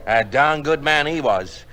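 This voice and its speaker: Cheeky British Tommy, radio drama. Sharp, lively, working-class banter. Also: male voice, mid-range voice, takes it slowly, faint music behind. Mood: admiring. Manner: wry, slangy banter. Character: cheeky British soldier rescued at Dunkirk.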